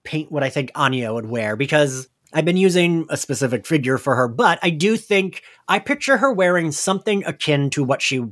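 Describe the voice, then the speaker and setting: A man with a fairly high voice and very animated intonation hosts a casual pop-culture podcast. He is enthusiastic and giggly, talking loosely as if among friends. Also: fairly quick, few pauses. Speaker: podcast host.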